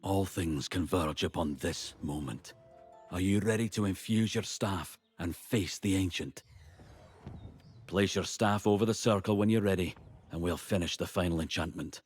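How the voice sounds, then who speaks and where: A man playing a grave, earnest druid elder in a fantasy video game, with a husky voice and a solemn, formal manner. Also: low voice, normal speed. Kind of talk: video game character dialogue.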